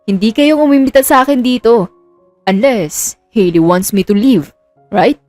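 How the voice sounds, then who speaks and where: A woman's voice: fairly high pitch, normal speed, a little mellow, no room sound, soft background music, lightly acted. Smirking, taunting young man, voiced by a woman.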